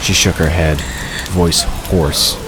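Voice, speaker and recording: A man's voice: low voice, steady tone, slow pace, very noisy. A man narrating a scripted fantasy story as the narrator of an audio drama.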